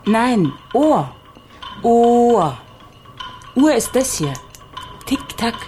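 A woman's voice: a little high, very animated, drawn-out words, warm tone, exaggerated voice. Young woman volunteer, casual n blunt, radio drama, bit of music. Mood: teaching. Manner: blunt, colloquial. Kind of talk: radio play acting.

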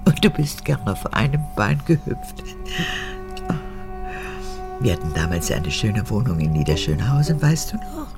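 Gravelly, volatile old mother. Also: big pitch swings, unhurried, pauses often, over loud music, full character voice. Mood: pleading, clinging. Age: older. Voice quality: creaky, gravelly. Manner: erratic, swinging from whispers to shrill outbursts. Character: old unrepentant former camp guard mother, volatile, manipulative, clingy. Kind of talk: radio drama acting.

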